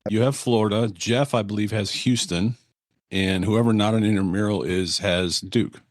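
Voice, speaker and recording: A man's voice: on the low side, fairly even intonation, no echo, clean studio sound. A man co-hosting a sports podcast, chatting casually and often restarting his sentences. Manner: halting, many restarts and fillers. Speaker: podcast co-host.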